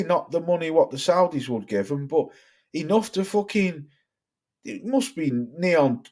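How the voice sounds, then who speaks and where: A man's voice: a little high, a little mellow. A young man from Cheshire with a mixed Cheshire and Stoke accent, hosting a casual livestream, reading viewer comments and chatting bluntly with plenty of swearing. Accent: Cheshire English mixed with Stoke. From Cheshire, England. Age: young adult. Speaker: livestream host.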